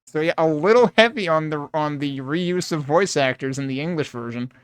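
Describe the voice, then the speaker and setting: Clear-voiced man chatting over a game. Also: fairly high pitch, normal speed, no echo. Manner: rambling, thinking aloud. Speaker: game streamer. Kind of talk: casual playthrough commentary.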